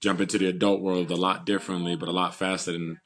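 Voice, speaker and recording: A young man from New York talks about his football career in a calm, steady way. His voice is very deep and stays flat and even in pitch. He answers at an unhurried, relaxed pace. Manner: calm, steady storytelling with "uh" and "you know". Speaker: football player, guest. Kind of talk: casual interview answers.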